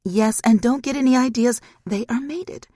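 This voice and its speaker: A woman's voice: mid-range voice, lively intonation, unhurried, darkish tone, breathy edge, dry recording, clean studio sound, pitched above the performer's own voice. A woman voicing a bitter young witch speaking to her estranged mother. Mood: tense.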